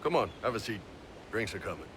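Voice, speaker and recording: A man's voice: on the low side, lively intonation, fast talker, noisy background, natural voice. A man playing a big, hearty horned mercenary chief in a video game, jovial and casually commanding. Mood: jovial, welcoming. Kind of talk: video game character acting.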